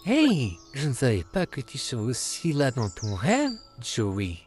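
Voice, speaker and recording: A man voicing a friendly, courteous male puppet in an amateur comic dub, calmly addressing his friends. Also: medium pitch, a little slow, soft background music. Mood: welcoming, amused. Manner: calm, courteous, repeatedly addressing listeners as friends.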